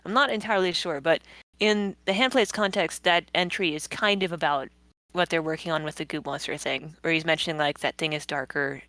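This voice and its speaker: A woman's voice: low voice, brisk, pushed and bright, no room sound. A woman giving casual behind-the-scenes commentary as a comic creator, rambling and thinking aloud as she goes. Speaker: webcomic creator guest.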